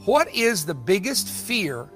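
A man's voice: high voice, very animated, slow, fairly bright tone. A man in his sixties teaching the Bible in a sermon style, emphatic and given to repeating phrases for weight. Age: 60s. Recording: music bed under the voice. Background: music.

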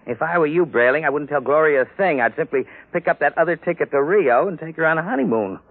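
A man's voice: a little high, expressive, fast talker. An American actor playing an earnest, folksy middle-aged husband who dotes on his wife, in 1950s radio drama style. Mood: wry, resolved. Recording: narrow-band vintage radio recording.

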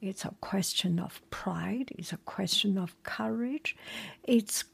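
A woman, not a native English speaker, whispering a relaxed chat and reading a story aloud with many hesitations. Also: mid-range voice, takes it slowly, creaky, husky.

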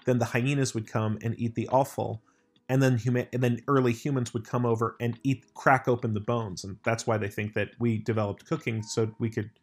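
A man's voice: medium pitch, flat delivery, brisk. An American man hosting a casual chat, rambling with lots of restarts and filler words. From United States.

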